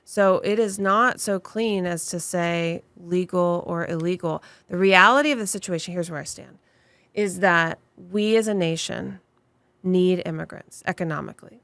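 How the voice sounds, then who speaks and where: A woman's voice: medium pitch, a bit bright, no room sound. A woman immigration attorney being interviewed, speaking with passion and emphasis and stacking points into long lists. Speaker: immigration attorney and law firm owner. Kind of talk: interview guest answering questions.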